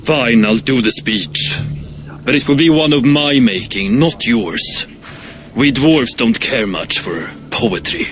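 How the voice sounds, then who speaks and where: Gruff dwarf, blunt, grudging, unhurried delivery, game drama, music in the background. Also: male voice, mid-range voice, lively intonation. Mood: awkward, grudging. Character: blunt, grumbling male dwarf, proud craftsman. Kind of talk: dramatic video game cutscene acting.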